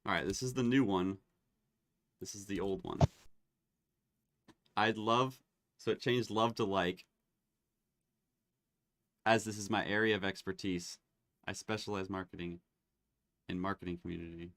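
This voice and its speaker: A man hosting a live online demo, chatting casually and getting excited as he tests tools. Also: on the low side, a little slow, pauses often, slight background noise. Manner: excitable, laughs and exclaims while testing tools.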